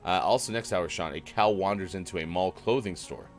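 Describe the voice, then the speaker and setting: A man hosting a live morning show in easygoing, self-mocking banter, his voice a bit rough today. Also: deep voice, brisk, faint music behind. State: slightly rough, gruff voice. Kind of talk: casual live-stream morning show chat.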